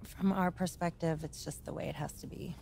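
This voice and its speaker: An actress playing an ambitious, composed sports agency executive in a video game, with a measured, businesslike delivery. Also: female voice, fairly low pitch, steady tone, creaky, darkish tone, a little hiss or hum. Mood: diplomatic, firm. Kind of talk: naturalistic game cutscene dialogue.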